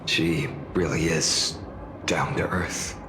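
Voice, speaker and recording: An actor playing a solemn, mysterious wandering swordsman in a video game, speaking gravely, courteously and with deliberation. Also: rumbling low, fairly even intonation, takes it slowly, noisy background. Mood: earnest. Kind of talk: video game voice acting and narration. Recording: echoey, long reverb, music behind.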